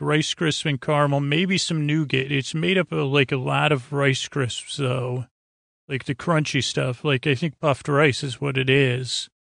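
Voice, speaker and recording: An American man hosting a sleep podcast, rambling in a low-key, halting way and trailing off mid-thought. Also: gravelly, fairly bright tone, no echo, studio quality. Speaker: podcast host.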